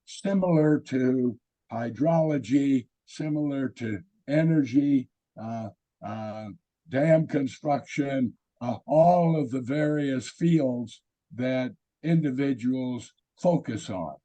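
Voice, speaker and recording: A hoarse man with a raspy voice giving a webinar presentation over a video call, halting with many fillers. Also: medium pitch, expressive, mellow. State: hoarse from illness.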